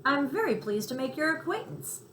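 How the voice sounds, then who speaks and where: A woman reads as a suave storybook tomcat, silky and easygoing. The delivery is polite and expressive, heard in a roomy space with faint noise. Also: sits high, moderate pace, voice pushed up. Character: Harry, smooth, easygoing, friendly male city cat.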